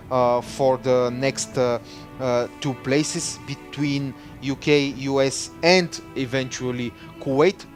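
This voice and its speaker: Host, male, slow and full of "uh"s, light music underneath. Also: medium pitch. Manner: hesitant, many "uh"s, slow. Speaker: livestream host. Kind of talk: casual live-stream commentary.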